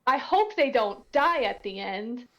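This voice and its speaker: A woman's voice: fairly high pitch, animated, unhurried, bright, forceful tone. A woman chatting casually as a cast member in a group commentary. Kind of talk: casual chat. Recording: slightly dull, lo-fi mic.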